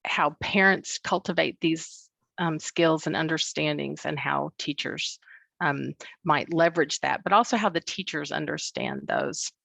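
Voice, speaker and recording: A woman researcher giving an academic presentation at a book launch, speaking in a measured way with many ums and uhs, over a video call. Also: sits low, creaky, a bit bright, no echo. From not from New Zealand. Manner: measured, with frequent um and uh fillers. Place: New Zealand, speaking remotely.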